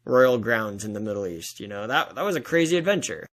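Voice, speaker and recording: A man talks as a guest author in an informal interview. His voice sits at an average pitch. He comes across as earnest and enthusiastic, speaking in loose, conversational phrases. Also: expressive, a little fast, fairly bright tone. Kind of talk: casual interview talk.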